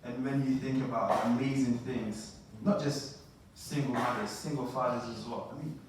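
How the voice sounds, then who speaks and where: A man hosts a live poetry night in a laid-back, chatty manner. His mid-pitched voice echoes heavily around the hall, picked up from afar with clear background noise. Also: fairly even intonation, normal speed, a little mellow, recorded from a distance. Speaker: event host. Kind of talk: live event hosting. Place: large indoor event hall.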